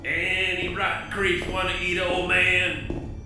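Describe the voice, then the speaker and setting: An actor playing a grizzled, folksy old survivor with a gravelly voice, who talks of himself in third person in an audio drama. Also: male voice, sits high, monotone, slow pace. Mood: muttering, grumpy. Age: older. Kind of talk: dramatised comic voice acting. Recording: music bed behind the voice.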